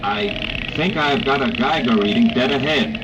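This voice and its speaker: A nervous scientist played by a man speaks haltingly, as if uneasy and superstitious, in vintage radio drama style. His mid-pitched male voice sits back from the mic in a dull, noisy old recording. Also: distant mic, lightly acted. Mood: alert, tense.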